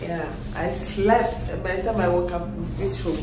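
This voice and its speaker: A woman's voice: fairly low pitch, moderate pace, background noise. A young woman in her twenties filming a casual travel vlog on a phone at arm's length, chatty and teasing. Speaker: vlogger. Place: outdoors at a waterfall and a boat, then a hotel room.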